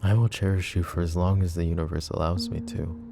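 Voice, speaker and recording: A man playing a possessive, protective mafia boss in an intimate ASMR roleplay, speaking in a hushed, deliberate, tender way that often dips toward a whisper. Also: low voice, level tone, creaky, loud music behind the voice. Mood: devoted, intense. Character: possessive, protective male mafia boss, smooth menacing devotion.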